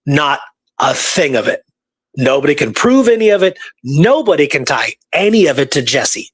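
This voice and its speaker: Male co-host, higher register, casual and emphatic history talk, dry recording. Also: big pitch swings, moderate pace, breathy edge, dry recording. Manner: emphatic, repeats points for stress. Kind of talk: casual conversational history discussion.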